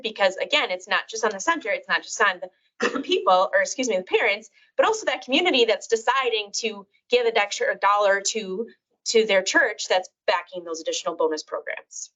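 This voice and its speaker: A woman speaking as a parent on a panel, chatty and informal, with a loud voice. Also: mid-range voice, dull audio. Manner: chatty, informal, says she has a loud voice. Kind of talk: panel discussion. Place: event room with audience.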